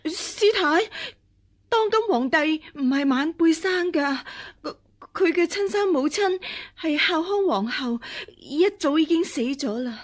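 An actress playing a scheming empress dowager in a radio drama, haughty but hesitant and deferential when cornered. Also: female voice, high-pitched, expressive, unhurried. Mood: pleading, deferential. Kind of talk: Cantonese radio drama acting.

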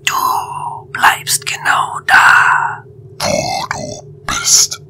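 A man voicing an ancient, cruel otherworldly guardian in a German audio drama, in a hissing, rasping whisper with taunting, drawn-out phrases. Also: pushed and bright, exaggerated voice. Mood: cold menace. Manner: slow, drawn-out, taunting delivery.

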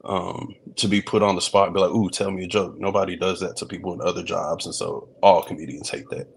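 Deep-voiced guy from texas on a video call, chill, laughs a lot, kinda repeats himself starting out. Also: quick pace, gravelly, a little hiss or hum. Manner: relaxed, laughs easily, repeats words at starts. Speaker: comedian and reality show guest. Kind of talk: casual video-call interview. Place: indoor room.

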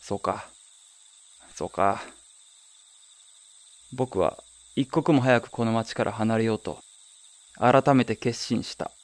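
A man's voice: fairly low pitch, slow pace, long pauses, dry recording. A man playing a wistful, wry young man who narrates his own story in a radio drama. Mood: dry, indignant.

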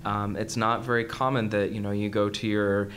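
Low-voiced man explaining on a panel. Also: level tone, normal speed, a bit bright, slight background noise. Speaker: panel speaker, psychologist. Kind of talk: convention panel talk. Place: hotel conference room with audience.

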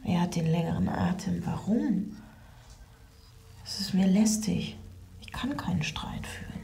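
A woman's voice: lowish voice, lively intonation, measured pace, vocal fry, dark tone. An actress in a radio drama playing an anxious, overwhelmed mother who broods and often drops to a whisper. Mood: overwhelmed, brittle. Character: anxious, overwhelmed woman, a stressed single mother. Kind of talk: radio drama inner monologue.

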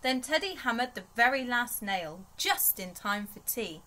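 A young woman reading a rhyming picture book aloud to small children in an expressive storytelling manner. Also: on the high side, measured pace. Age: young adult. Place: small bedroom, indoors.

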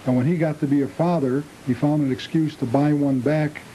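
Relaxed American man answering interview questions. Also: fairly high pitch, fairly quick, a little hiss or hum, poor audio. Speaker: interview guest, appliance store proprietor and train collector.

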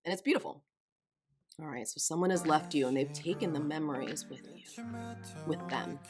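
A woman's voice: low-pitched, normal speed. A woman in her thirties hosting a music reaction channel, musing casually and thinking aloud with small restarts, recorded in a small room. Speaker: K-pop reaction channel host. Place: small room with lit shelves of collectibles. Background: faint music video audio.

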